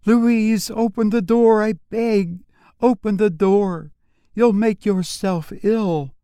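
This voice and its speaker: Man putting on a high, pleading anxious woman. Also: steady tone, takes it slowly, warm tone, smooth, no room sound, clean studio sound. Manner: urgent, imploring. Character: pleading anxious woman calling her sister through a door.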